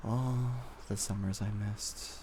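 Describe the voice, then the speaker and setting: Over clear background noise comes a fairly low male voice in an intimate ASMR roleplay. It is an obsessive childhood-friend boyfriend, acted out by a man, tender yet possessive. He talks softly and haltingly, with nervous pauses. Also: fairly even intonation. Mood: creepy, soothing.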